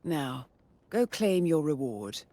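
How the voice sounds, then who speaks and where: Mission handler, low voice, lively pitch, wry, composed, soft music behind. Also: female voice, takes it slowly, exaggerated voice. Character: poised, dry-witted female mission handler. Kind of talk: video game dialogue.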